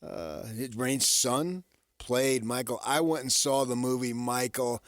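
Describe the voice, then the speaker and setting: A middle-aged man co-hosting a sports radio show, telling stories casually in a chatty, animated way. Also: medium pitch, takes it slowly, dry recording, clean studio sound.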